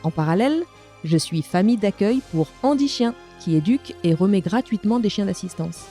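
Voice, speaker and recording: A woman's voice: medium pitch, lively intonation, fairly quick, mellow, faint music behind. A woman hosting a podcast, presenting and interviewing in an articulate, careful way.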